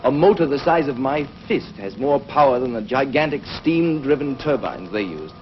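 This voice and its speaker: A man plays a learned doctor of the future in a 1950s TV space serial. He explains things earnestly and deliberately, with widely swinging pitch. The soundtrack is dull and noisy. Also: expressive, lightly acted. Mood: thoughtful, earnest. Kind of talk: 1950s television science fiction acting.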